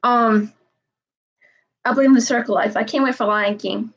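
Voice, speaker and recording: Young woman in her twenties, higher voice, rambling live-stream chat, muffled audio. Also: fairly even intonation, moderate pace. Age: 20s. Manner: meandering, repeats herself, frequent "um".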